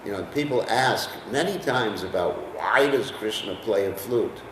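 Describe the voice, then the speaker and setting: A man's voice: fairly low pitch, expressive, moderate pace, a bit bright, reverberant, recorded from a distance, a little hiss or hum, poor audio. An American man teaching a scripture class in a conversational way, often putting questions to his listeners. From United States. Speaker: lecturer on scripture.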